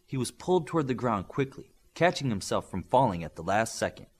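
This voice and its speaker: Expressive husky man reading fiction. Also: mid-range voice, a little fast. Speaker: audiobook narrator. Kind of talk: scripted fiction narration.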